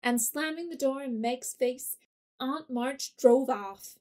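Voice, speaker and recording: A woman narrating a classic novel in an audio drama, gentle and measured. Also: sits high. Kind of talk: scripted storybook narration.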